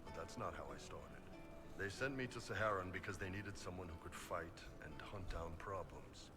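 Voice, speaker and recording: A deep, gruff video game warrior acted by a man talks in a blunt, laconic way. He is a huge horned mercenary with a spy's past and a wry streak, heard over clear background music. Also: moderate pace, natural voice. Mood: grim, candid.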